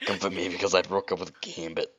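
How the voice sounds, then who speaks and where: A man voicing a sultry cartoon superheroine with a throaty, strained, croaky smoker's voice. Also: sits low, little pitch movement, fast, fairly bright tone, dull audio, slight background noise. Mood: apologetic, needy.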